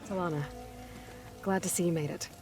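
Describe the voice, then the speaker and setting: A woman acts a determined young adventurer in a video game. Her voice is soft-edged and a little low, and she is direct, probing whoever she talks to. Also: steady tone, brisk, faint music behind, natural voice. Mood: curious, businesslike. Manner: direct, questioning. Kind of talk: video game character acting.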